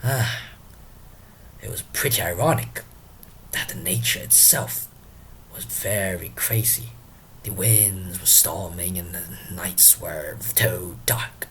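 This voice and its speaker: A man's voice: low voice, slow, slight background noise, pitched below the performer's own voice, full character voice, rough recording. A man playing a drunken, rambling porter in a theatrical Shakespearean soliloquy, exclamatory and dramatic. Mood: hushed, ominous. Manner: exclamatory, dramatic, swinging from loud calls to hushed muttering. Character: drunken, rambling comic castle porter playing at hell's gatekeeper.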